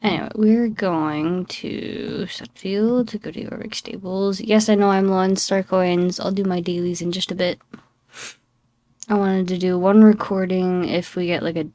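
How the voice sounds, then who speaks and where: A woman's voice: on the low side, fairly even intonation, moderate pace, dark tone, dry recording. A young woman hosting a casual gameplay commentary with a scratchy, just-woken-up voice. She rambles, apologizes and pokes fun at herself. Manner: chatty, rambling, apologetic and self-deprecating. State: scratchy voice after waking up. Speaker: gaming channel host.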